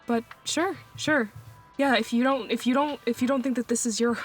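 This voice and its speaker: Hurt woman, highish raspy voice, hesitant, repeats herself, audio drama, faint music. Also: moderate pace. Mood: anxious, yielding.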